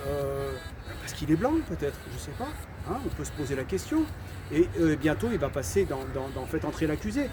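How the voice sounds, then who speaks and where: A man's voice: on the high side, animated, brisk, music in the background. A French man of Algerian heritage giving his opinions in casual talk, hesitant, repeating words and often saying "voilà". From Algerian heritage, raised in France. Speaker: channel host giving opinions.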